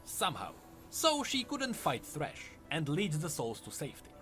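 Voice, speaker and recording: A man's voice: a little high, wildly swooping pitch, moderate pace, fairly bright tone, soft background music. A man delivering scripted narration as the narrator of a lore video.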